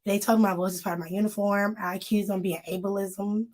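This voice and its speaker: Woman co-host, casual live chat, a bit hoarse, speaks right to the viewers. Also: mid-range voice, flat delivery, fast, a little mellow. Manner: chatty, addresses viewers directly. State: slightly hoarse, voice still recovering. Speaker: magazine co-host.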